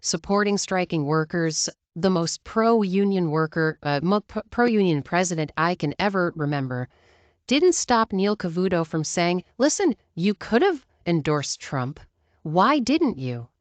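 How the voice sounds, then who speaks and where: American woman doing political commentary, lowish voice, emphatic and chatty, really dry close sound. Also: lively intonation, unhurried, no room sound. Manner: emphatic, conversational, poses rhetorical questions.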